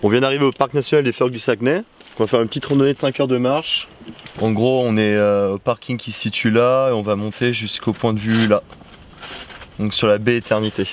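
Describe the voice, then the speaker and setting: A young man, narrating a road trip in a relaxed, chatty way, filming himself at arm's length outdoors and in a car. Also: medium pitch, a little fast, soft background music. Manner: relaxed, chatty, slangy, laughs often. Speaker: travel vlogger. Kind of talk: casual travel narration. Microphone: handheld camera at arm's length. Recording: loud outdoor and road noise.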